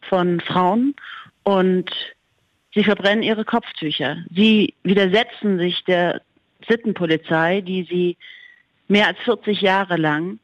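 A woman's voice: on the low side, steady tone, slow, dry recording, highs cut off. A woman journalist from Germany answering interview questions, building emphatic lists as she speaks. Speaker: journalist guest.

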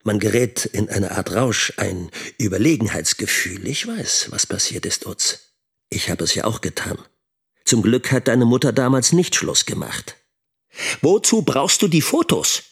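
A brooding middle-aged father in a radio drama monologue. His lowish voice is very husky and gravelly, and he schemes with deliberate care. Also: sing-song, exaggerated voice. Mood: brooding, troubled.

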